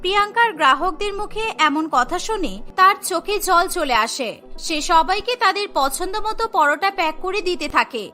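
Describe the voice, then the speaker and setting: A woman's voice: very high voice, expressive, brisk, pushed and bright. A woman narrating a scripted cartoon story. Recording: music behind the voice. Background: music.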